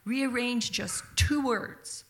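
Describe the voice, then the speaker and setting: A woman reading a scripted narration in a soft, measured way. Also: fairly high pitch.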